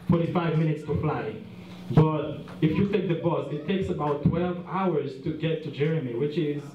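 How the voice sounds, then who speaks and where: A young man from Haiti, giving an earnest, plain-spoken slide presentation as a guest speaker at a dinner. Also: a little high. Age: young adult. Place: large dinner hall. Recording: roomy, distant, dull sound, faint hiss.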